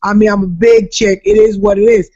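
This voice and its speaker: A woman from Jacksonville, Florida with a raspy voice, telling profane stories in casual chat as a comedian guest, often laughing loudly. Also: medium pitch, flat delivery, fairly quick. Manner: brash, profane storytelling with loud laughter. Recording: dull lo-fi podcast audio.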